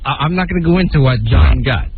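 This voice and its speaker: A man speaking unscripted on a radio show, halting and guarded, as a station manager. His voice is mid-pitched and the recording is thin and phone-like. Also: lively intonation, a loud crowd. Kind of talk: casual unscripted discussion.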